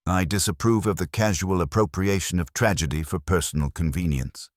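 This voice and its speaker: Man playing a cold, deep-voiced middle-aged lawyer. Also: dry recording, clean studio sound. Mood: disapproving. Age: 40s. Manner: measured, coolly formal. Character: controlled, disapproving male lawyer in his late forties. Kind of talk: scripted mystery story dialogue.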